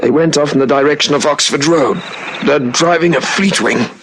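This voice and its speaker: A man's voice: a little high, normal speed, fairly bright tone. An actor playing a wounded, dogged policeman in an old radio drama, with a strained, pained delivery. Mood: strained, dutiful. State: in pain, straining. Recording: slightly noisy vintage radio drama recording.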